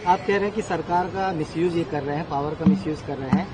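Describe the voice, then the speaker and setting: A man's voice: a little high, fast. A man working as a news reporter, putting questions at an outdoor press scrum. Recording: busy outdoor press scrum, chattering crowd.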